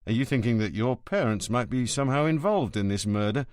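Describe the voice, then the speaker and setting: A man's voice: medium pitch, animated, brisk, darkish tone, no room sound, close to an everyday voice. A man voicing a brusque, no-nonsense police inspector who speaks curtly and gives orders. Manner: curt, commanding, dry. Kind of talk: audiobook character voice.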